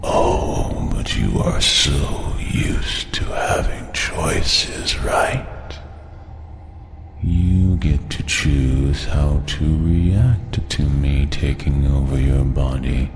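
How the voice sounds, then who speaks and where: A man playing an ancient, menacing entity that possesses its victim, gloating in an ominous horror audio drama monologue with whispery drawn-out words. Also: extremely low pitch, background noise, exaggerated voice. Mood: gloating menace.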